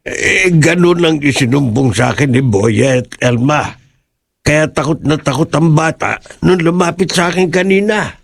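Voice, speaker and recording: An actor playing a grave, fearless village father in a horror radio drama, speaking emphatically in a husky, creaky voice. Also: on the high side, a little slow, no echo, clean studio sound. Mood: grim dread.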